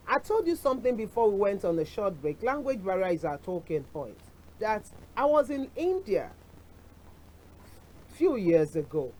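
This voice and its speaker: A woman's voice: mid-range voice, darkish tone, slight background noise, poor audio. A middle-aged woman with a Nigerian accent hosting a television talk show, speaking in a chatty way with asides and dropping in Yoruba phrases. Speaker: TV show host. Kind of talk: casual chat to camera.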